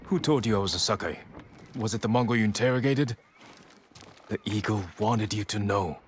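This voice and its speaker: An actor playing a guarded, honourable young samurai lord in a dramatic video game, speaking in a measured, earnest way. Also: male voice, fairly low pitch, a little hiss or hum, natural voice. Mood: stern, controlled.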